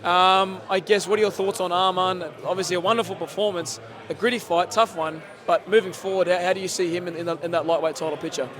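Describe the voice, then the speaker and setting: A man hosting a post-fight reaction interview, hoarse from yelling, talking excitedly with many fillers. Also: sits high, fast talker, hardly any pauses, a crowd in the background. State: losing his voice from yelling. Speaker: host. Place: sports bar at a casino.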